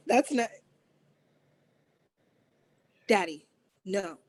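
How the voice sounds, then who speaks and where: A woman's voice: mid-range voice, sing-song, very slow, exaggerated voice. A woman playing a spoiled, popular teenage girl at a table read, whiny and pleading with her father. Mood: whining, snobbish. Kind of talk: table read of an amateur play.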